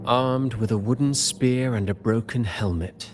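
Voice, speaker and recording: Slow, sly theatrical schemer, acted by a man. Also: medium pitch, noisy background, close to an everyday voice. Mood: storytelling. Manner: eloquent, teasing, deliberate. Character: sly, theatrical male schemer and poet, mockingly courteous. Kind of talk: theatrical video game voice acting. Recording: background music under the voice.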